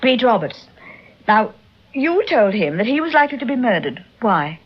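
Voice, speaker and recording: An actress playing a glamorous, inquisitive detective's wife with a British accent in an old radio drama. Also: female voice, fairly high pitch, sing-song, moderate pace, breathy edge, dull audio. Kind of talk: mid-century British radio drama acting.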